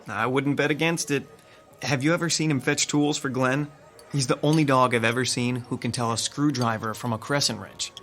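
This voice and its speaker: A man's voice: mid-range voice, fairly even intonation, moderate pace, a bit bright, faint music behind, lightly acted. A man playing Alan, a wry, sarcastic theater colleague who teases his friends. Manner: dry, sarcastic. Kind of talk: radio drama acting.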